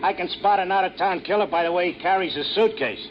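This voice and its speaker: Man acting a cabbie, slangy, knowing, fairly high voice, old radio drama, muffled, noisy. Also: fast talker, natural voice. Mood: chatty, knowing. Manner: folksy, slangy, familiar. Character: chatty, worldly-wise male big-city cab driver.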